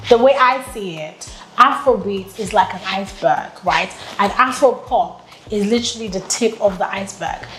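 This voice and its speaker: An enthusiastic woman in her thirties talks through her podcast solo, chatty and full of filler words. She is from Nigeria and sits at a middling pitch. Her voice rings a little in an echoey room. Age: 30s. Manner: enthusiastic, chatty, lots of "like" and "literally". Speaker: podcast host. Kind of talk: casual solo podcast monologue.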